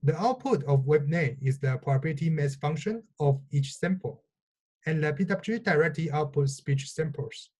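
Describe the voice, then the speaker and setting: A man presenting research in a scripted, measured, explanatory technical talk. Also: fairly high pitch, animated, darkish tone. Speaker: researcher presenting own work.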